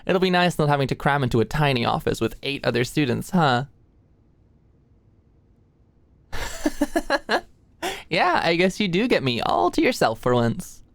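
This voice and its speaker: A low-pitched young female medical student, acted by a woman, recorded close and dry. She is anxious and friendly, talking in a hesitant, conversational ASMR roleplay style and easily flustered around the person she secretly likes. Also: very animated, normal speed, gravelly, dry recording, full character voice. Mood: casual, playful. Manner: hesitant, chatty, nervous, conversational.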